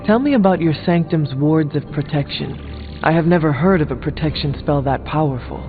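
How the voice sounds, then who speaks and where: An actor playing a solemn, stoic demon-born warrior hero in a video game, with formal, old-fashioned phrasing. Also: male voice, a little high, normal speed, narrow, tinny audio, close to an everyday voice. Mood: inquiring. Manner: formal, archaic phrasing without contractions. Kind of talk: video game dialogue acting. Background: background music.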